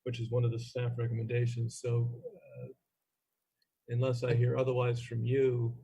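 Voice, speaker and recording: A man chairing a formal meeting as its chairman, speaking in a halting, procedural way. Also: mid-range voice, completely flat delivery, creaky, mellow, husky. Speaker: commission chairman. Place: remote meeting call.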